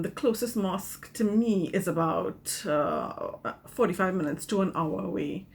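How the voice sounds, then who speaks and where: Calm woman on a webcam. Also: on the low side, creaky. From Trinidad and Tobago. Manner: calm, explanatory, with fillers. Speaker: teacher. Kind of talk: informative video message. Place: small room at home, indoors. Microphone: webcam, video call.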